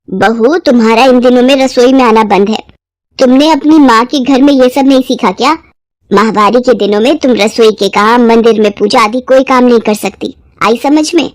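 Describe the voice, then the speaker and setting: A woman's voice: high-pitched, moderate pace, no room sound, natural voice. A woman voicing a strict, orthodox elderly mother-in-law who scolds and orders people about in a moral-story drama. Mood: stern scolding. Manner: scolding, commanding.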